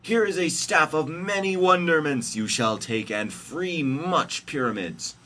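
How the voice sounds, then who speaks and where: A grand, helpful saviour played by a man in a comic storybook reading. He proclaims in mock-archaic phrases, high and bright. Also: animated, a little slow. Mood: mock-solemn.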